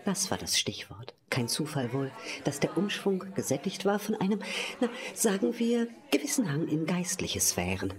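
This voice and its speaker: A woman's voice: deep voice, sing-song, moderate pace, crowd noise. A woman narrating an audio drama in a theatrical, wry and musing way, often slipping towards a whisper.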